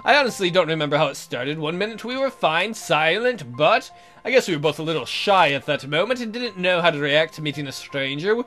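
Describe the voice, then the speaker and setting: A man's voice: a little high, very animated, brisk, a bit bright, soft background music. A man hosting a let's play, reading a visual novel aloud in a jokey, theatrical, exaggerated way with comic asides.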